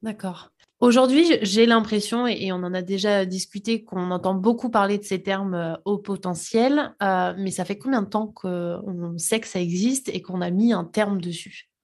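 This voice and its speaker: A woman's voice: mid-range voice, moderate pace, a little mellow. A woman hosting a podcast, asking her guest questions in a curious, conversational way. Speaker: podcast host. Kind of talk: interview questions.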